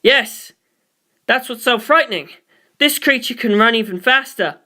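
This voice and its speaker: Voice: medium pitch, unhurried, pushed and bright, voice pushed up. A reader voicing a tense male mission control officer in a space story, urgent and formal. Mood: alarmed.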